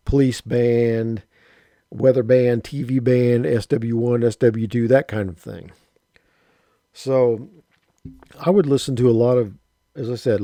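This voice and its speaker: A man in his fifties with a mellow, relaxed voice, telling an old story in a rambling, folksy way full of restarts. The sound is close and dry, with no room around it. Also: medium pitch, no room sound. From Southern Illinois, USA. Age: 50s. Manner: rambling, folksy, with mild cursing and frequent restarts. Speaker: radio hobbyist vlogger. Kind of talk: casual spoken reminiscence.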